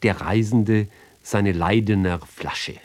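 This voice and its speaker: Slow, deliberate man reciting literary text. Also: lowish voice, a little mellow. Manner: deliberate, with pauses between short phrases. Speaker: reader. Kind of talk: measured literary recitation for radio.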